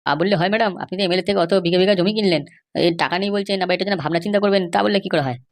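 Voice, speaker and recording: Woman as crooked cartoon police officer, lowish pitch, extremely fast talker, insistent and dismissive. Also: natural voice. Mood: insinuating. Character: stubborn, bribe-seeking police officer guarding a prisoner. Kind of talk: Bengali comedy cartoon dialogue.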